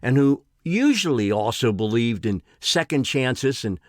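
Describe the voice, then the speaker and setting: A man in his sixties reading his own personal essay in a deliberate, emphatic way with formal phrasing. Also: medium pitch, big pitch swings, dry recording, studio quality. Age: 60s.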